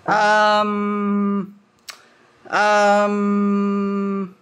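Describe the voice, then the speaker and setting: A young man with a Filipino accent and a high-pitched voice rants straight to the camera. He is angry and accusing, repeats himself, and stumbles and restarts in halting English. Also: monotone, fairly bright tone, smooth. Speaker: vlogger.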